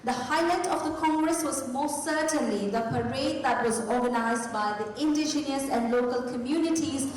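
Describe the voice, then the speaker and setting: A woman's voice: high-pitched, moderate pace, few pauses. A woman in her thirties speaking as master of ceremonies, delivering a scripted formal address to an audience from a podium. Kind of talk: formal event hosting speech from a script. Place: large indoor conference hall, at a podium. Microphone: podium gooseneck microphone. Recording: echoey hall PA, distant, audience noise. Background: audience in the hall.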